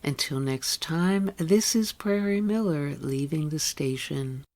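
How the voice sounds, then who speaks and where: A woman's voice: deep voice, lively intonation, slow pace, a little mellow. Lady radio host doing a scripted show outro, talking to listeners.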